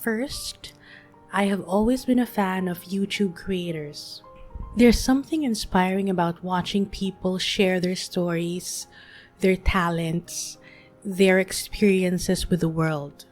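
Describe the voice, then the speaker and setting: Slow, soothing woman in her late thirties. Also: lowish voice, soft background music. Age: 30s. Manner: gentle, soothing, unhurried. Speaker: podcast host. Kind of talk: soft-spoken reflective monologue.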